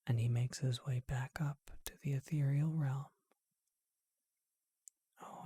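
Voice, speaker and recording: A performer voicing a charming vampire who tells an old tale in a gentle, breathy ASMR whisper, unhurried and reflective. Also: mid-range voice, no echo, full character voice.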